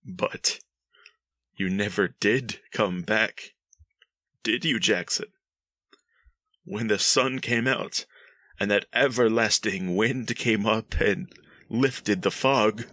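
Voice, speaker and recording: A man playing a haunted, brooding figure who recalls dark events haltingly in a 1940s style radio monologue. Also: lowish voice, no room sound, full character voice. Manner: halting, ominous, dramatic pauses.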